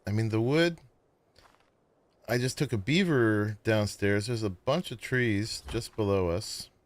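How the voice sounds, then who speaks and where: A man casually chatting to viewers as he plays and builds in a survival game, with a sleepy voice and a relaxed, rambling manner. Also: lowish voice, unhurried. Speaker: gaming streamer. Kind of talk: casual gameplay commentary.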